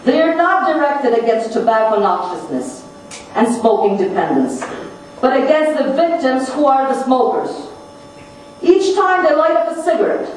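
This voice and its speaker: A woman with a high voice delivers a formal, scripted speech in deliberate, emphatic phrases. She sounds distant from the microphone, in a very reverberant room. Some noise sits in the recording. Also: darkish tone. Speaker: conference speaker reading another person's message. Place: large echoing conference room. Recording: very echoey hall, distant mic, noisy.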